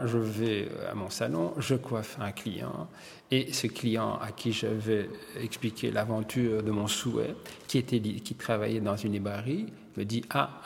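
An older Belgian-born man, a hairdresser and publisher, telling his story in an interview, halting and repeating words as he searches. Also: fairly low pitch, creaky, big-room sound.

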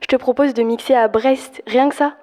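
A woman's voice: high-pitched, fairly even intonation, normal speed, warm tone, close to an everyday voice. A young woman playing a pushy, slangy booking agent in comic audio fiction, speaking insistently and in exclamations. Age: teenager or young adult. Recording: echoey hall, live stage performance.

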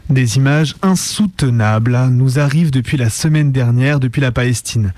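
A man's voice: mid-range voice, normal speed, a little mellow, faint music behind. Grave man reading a radio editorial. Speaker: radio show presenter. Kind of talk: scripted political editorial read aloud.

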